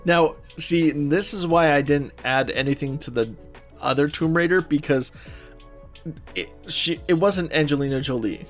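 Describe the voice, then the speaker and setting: A man's voice: fairly high pitch, moderate pace, faint music behind. A man co-hosting a podcast, chatting casually and informally about films and games with gushing opinions. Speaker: podcast co-host.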